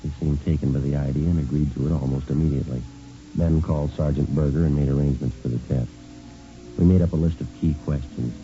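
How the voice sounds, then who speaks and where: A terse male police detective from an old radio crime show. His voice is rock-bottom low and very dark, delivered in clipped, unflappable deadpan. It comes off a muffled vintage recording. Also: quick pace, faint music behind. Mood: matter-of-fact. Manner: clipped, deadpan, matter-of-fact. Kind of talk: vintage radio police drama acting and narration.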